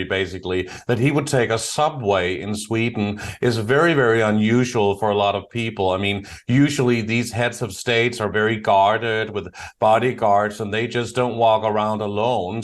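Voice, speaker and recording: A man hosting an interview, speaking conversationally and adding explanatory asides for the audience. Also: lowish voice. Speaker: interviewer, talk show host.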